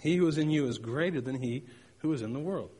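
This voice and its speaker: A man's voice: a little high, fast talker, warm tone, husky, big-room sound. A man delivering a sermon, telling personal stories with emphatic repetition and playful sound effects. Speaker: pastor.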